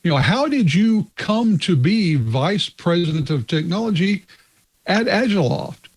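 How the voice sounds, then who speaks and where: A man hosting a tech podcast, introducing his guest and asking questions in a measured, deliberate way. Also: sits high, very animated, breathy edge. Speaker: podcast host.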